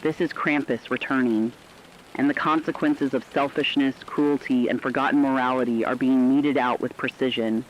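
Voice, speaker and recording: A man acting as a solemn radio reporter, relaying eerie accounts in a measured, formal and foreboding horror narration. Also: medium pitch, steady tone, lo-fi sound, a little hiss or hum, lightly acted.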